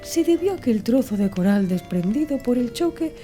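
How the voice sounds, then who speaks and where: A woman reading scripted literary narration as an audiobook narrator, in a measured, formal way. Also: a little high, big pitch swings, warm tone. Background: music.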